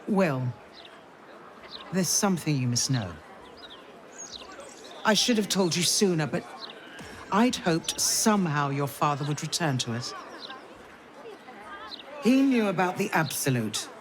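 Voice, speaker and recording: A woman playing a stern, loyal noblewoman counsellor in a fantasy video game, speaking in a solemn, formal, measured way. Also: low-pitched, slight background noise. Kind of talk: dramatic video game cutscene acting. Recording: music behind the voice.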